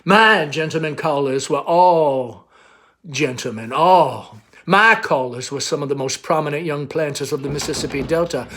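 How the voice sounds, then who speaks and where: A faded Southern belle of a mother, acted out by a man, airy and girlish in a raised pitch. She rambles and gushes theatrically, full of nostalgia for her youth, as in a stage drama. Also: moderate pace, a bit bright. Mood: elegiac reminiscing.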